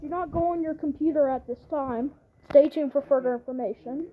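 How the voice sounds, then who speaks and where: High-voiced woman reading mock emergency alerts. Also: narrow, tinny audio. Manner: halting, repeats phrases and restarts sentences often.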